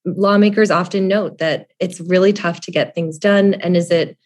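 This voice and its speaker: A woman political reporter giving conversational news analysis, her voice a little raspy from a cold. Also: lowish voice, fairly even intonation, moderate pace, darkish tone. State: has a cold.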